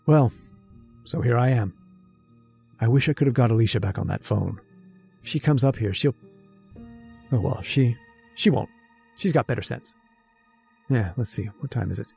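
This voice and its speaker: Man acting a rattled, gravelly radio-horror hero. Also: medium pitch, normal speed, pauses often, faint music behind. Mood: anxious, muttering. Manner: muttering to himself, stammering, excitable. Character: rattled man, centuries old, hunting his deadly sweetheart.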